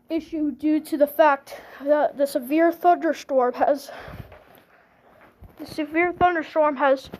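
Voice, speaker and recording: A woman with a high, soft-toned voice makes mock emergency broadcast announcements. She sounds like an amateur improvising official wording, halting and restarting phrases as she goes. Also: poor audio. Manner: halting, repeats phrases and restarts sentences often.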